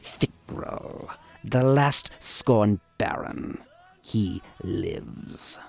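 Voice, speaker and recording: An earnest alien warden in a radio play. He speaks extremely slowly in clipped, broken phrases, with a gravelly creak and a lively, lurching pitch. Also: faint music behind, exaggerated voice. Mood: insistent. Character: earnest, humble male alien warden and informant. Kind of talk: radio play character acting.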